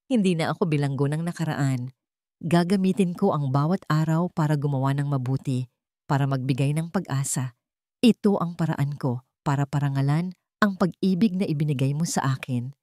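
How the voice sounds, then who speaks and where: A woman with a Filipino accent voicing a gentle, resolute woman at peace after grief, softly and tenderly, in an audio storybook. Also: low-pitched, moderate pace, no echo, studio quality, lightly acted.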